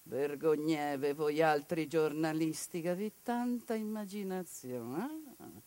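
A woman putting on a scornful middle-aged engineer with a Venetian accent, mocking and dismissive. The voice drops low and slow and gets a changed, distant colour. It sounds dry, with no room around it. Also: warm tone, dry recording.

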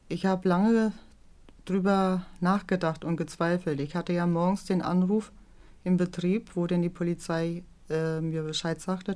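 A woman's voice: on the low side, mellow. A middle-aged woman giving a plain, earnest, colloquial account of her own experience in an interview. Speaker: interviewed mother. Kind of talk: interview recollection.

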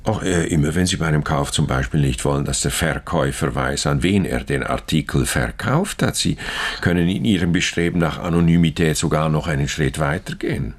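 An actor playing a discreet, courteous banker in a radio crime drama, speaking formally and politely with small hesitations and a gravelly, husky voice. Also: male voice, very deep voice, a little fast. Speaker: bank employee.

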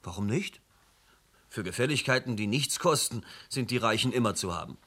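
Sulky young dude getting grilled, husky bright voice, radio play. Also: male voice, medium pitch, expressive, moderate pace. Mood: sullen. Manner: evasive, rising to tearful outbursts. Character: young man, sulky, defensive brother under questioning. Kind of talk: radio drama acting.

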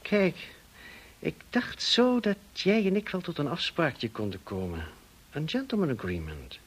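An actor in a radio play playing a smug, insinuating blackmailer with a mocking, drawling, falsely polite manner. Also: male voice, on the high side, sing-song, measured pace, slightly rough, full character voice. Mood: sly. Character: smug, insinuating male blackmailer, cousin of the dead woman.

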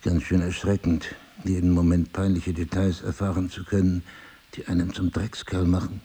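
An actor playing a middle-aged amnesiac husband in an audio drama, husky and gravelly, probing and dryly self-mocking. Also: male voice, deep voice, little pitch movement, normal speed, dark tone. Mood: reflective, uneasy. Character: amnesiac husband, a crime writer, searching and wryly ironic. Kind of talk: intimate audio drama dialogue.

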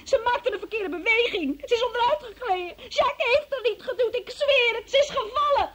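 A distraught young woman in a radio play, with an extremely high voice. She speaks haltingly and pleadingly, tearful and afraid under interrogation. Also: very noisy. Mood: desperate denial. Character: frightened, distraught young woman confessing under police questioning. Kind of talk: Dutch radio drama acting.

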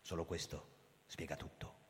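An actor playing a weary, proud old police chief, telling his story in a theatrical, deliberate radio drama style. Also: slight background noise, natural voice. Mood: grave, quiet. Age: older. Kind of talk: dramatic radio play narration and dialogue over music. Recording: long hall reverb, loud music underneath. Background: loud music.

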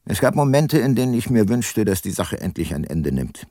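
Radio play lead: grave older detective, lowish pitch, close dry sound, reflective and deliberate. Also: male voice, big pitch swings, mellow, no room sound. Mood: sombre, reflective. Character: brooding older male detective, grave and resolute. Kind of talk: radio drama dialogue and first-person narration.

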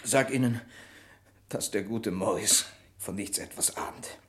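An actor playing Maurice, a gruff, loyal, protective friend, in an urgent, coaxing way in a German radio crime drama. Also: male voice, on the low side, unhurried, a bit bright. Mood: reassuring, firm.

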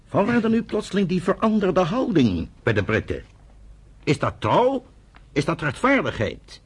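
A man's voice: sits high, very animated, normal speed, breathy edge, full character voice. An actor playing a stern chairman of a secret meeting, oratorical and emphatic, in a Dutch radio play. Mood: grave, indignant.

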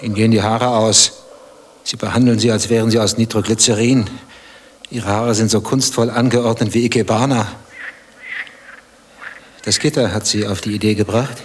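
An actor playing a brooding, bitter betrayed husband in a radio play, speaking in terse, probing, sardonic lines. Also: male voice, lowish voice, steady tone, moderate pace, long pauses, slight background noise, natural voice. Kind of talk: German radio play dialogue.

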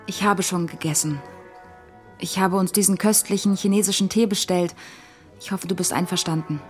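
An actress playing a reluctant woman in a German radio play, gentle but firm, choosing her words in a careful, halting way. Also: lowish voice, fairly even intonation, faint music behind, lightly acted. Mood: polite, distant.

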